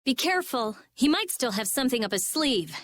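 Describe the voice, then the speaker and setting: An actress playing a sharp, wary young adventurer in a video game. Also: female voice, sits high, big pitch swings, a little fast. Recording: music under the dialogue.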